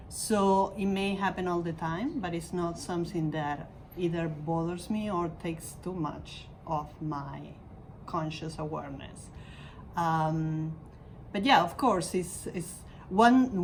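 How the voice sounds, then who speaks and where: Very low-voiced reflective woman. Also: darkish tone, a little hiss or hum, low-quality recording. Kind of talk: personal account.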